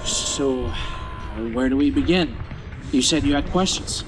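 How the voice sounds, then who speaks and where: A man's voice: medium pitch, unhurried, lightly acted, rough recording. A man playing a curious, uneasy young apprentice in an audio drama, asking questions in a hesitant way. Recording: loud music behind voice.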